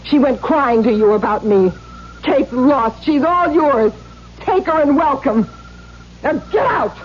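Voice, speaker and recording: A woman's voice: high-pitched, animated, measured pace. An actress playing a defiant, bitter tattooed carnival woman in a theatrical, mocking way in a 1970s radio drama. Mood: furious defiant. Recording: dull noisy 1970s radio recording with hum.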